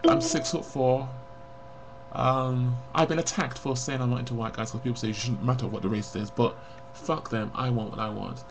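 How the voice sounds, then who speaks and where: A forty-year-old man speaks bluntly and reflectively into a webcam, his voice gravelly with vocal fry. He rambles in long confessional runs. A faint hum sits under the recording. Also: mid-range voice, fairly even intonation, moderate pace. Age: 40s. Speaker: vlogger. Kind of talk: personal confessional vlog to camera.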